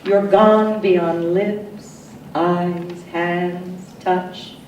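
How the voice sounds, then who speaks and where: A woman poet reading her own work as a dramatic recitation, solemn and deliberate, with emphatic phrasing and pauses. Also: lowish voice, steady tone, a little mellow. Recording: echoey, distant mic, noisy room.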